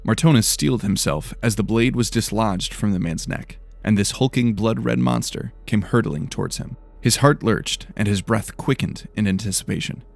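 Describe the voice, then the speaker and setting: A man's voice: lowish voice, moderate pace, gravelly, hardly any pauses. A man narrating a scripted fantasy story in a dramatic storytelling style.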